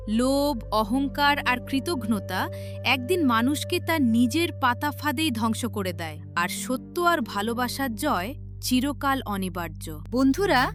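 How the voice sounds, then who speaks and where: A woman narrator reading a scripted story in a dramatic storytelling style. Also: high-pitched.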